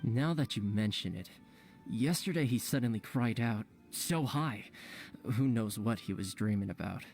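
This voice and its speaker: Plain-spoken, caring villager. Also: male voice, lowish voice, expressive, normal speed, a little mellow. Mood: concerned. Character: concerned, matter-of-fact villager tending the sick. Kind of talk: video game voice acting. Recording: faint music behind.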